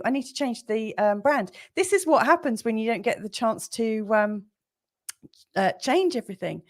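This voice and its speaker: A woman hosting a casual live stream, with a slightly croaky voice and a rambling, chatty manner full of fillers. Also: mid-range voice. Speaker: livestream host.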